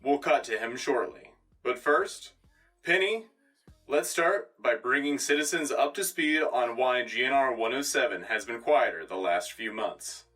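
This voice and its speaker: Male radio anchor role, man acting: composed, patriotic, dry wit, crisp bright delivery, music underneath, a little distant. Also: mid-range voice. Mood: solemn, proud. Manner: formal, dryly witty, measured. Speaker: radio host. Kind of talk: scripted sci-fi radio newscast.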